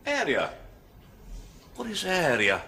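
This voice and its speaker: An actor playing a formal, dry-witted young Swedish gentleman with a Swedish accent who talks in a precise, literal way in a 1970s television period drama. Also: high voice, huge pitch swings, slow, vocal fry, background noise, full character voice. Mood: puzzled. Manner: precise, literal, drily ironic.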